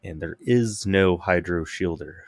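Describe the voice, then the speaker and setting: A man's voice: low voice, measured pace, dry recording. A man streaming a game, thinking aloud in casual, rambling commentary. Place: live stream with game audio behind.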